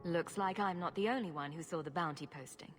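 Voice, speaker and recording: A woman's voice: lowish voice, brisk, over music, close to an everyday voice. An actress playing a wry female adventurer hero who speaks in a dry, sardonic way.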